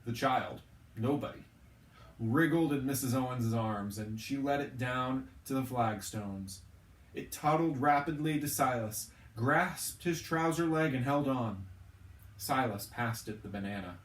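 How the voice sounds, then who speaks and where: A man reading a novel aloud as a storyteller, with expressive narration. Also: mid-range voice, unhurried. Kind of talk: expressive audiobook-style narration of a children's novel. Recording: roomy, echoing, distant from the mic.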